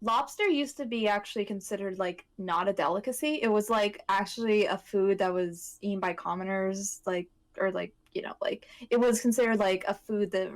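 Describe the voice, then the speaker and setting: A woman's voice: medium pitch, moderate pace, hardly any pauses. A woman co-hosting a podcast in a casual chat, rambling and interrupting herself with frequent "like". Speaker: podcast co-host.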